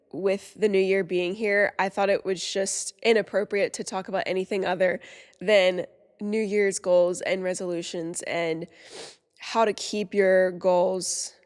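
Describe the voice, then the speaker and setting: Nasal stuffy young woman podcasting, chatty, lots of likes, mid pitch, echoey room. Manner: upbeat, chatty, many "like" fillers. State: congested, slightly sore throat. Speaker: podcast host. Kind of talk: casual solo podcast chat.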